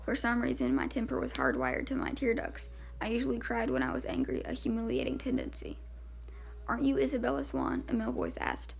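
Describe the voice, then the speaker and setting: Woman, amateur audiobook narration, strong creaky fry, lively swooping intonation. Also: a little high, fairly quick. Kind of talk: scripted novel narration, first person.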